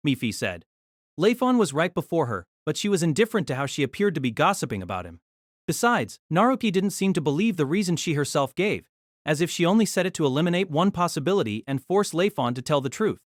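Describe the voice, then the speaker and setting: A narrator reading a novel aloud in an expressive storytelling manner. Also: medium pitch, fast talker, dry recording, clean studio sound.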